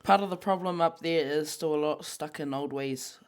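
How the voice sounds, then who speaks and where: A man's voice: fairly high pitch, normal speed, clear, resonant tone. A man with a New Zealand accent co-hosting a casual podcast, chatting informally in slang and reading out viewer comments. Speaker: podcast co-host.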